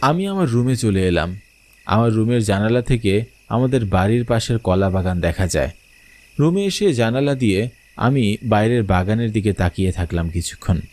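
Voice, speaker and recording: A man's voice: lowish voice, very animated, moderate pace, a little mellow. A young man playing a grown son who tells his own eerie village ghost story in dramatic, suspenseful scripted narration and dialogue. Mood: curious.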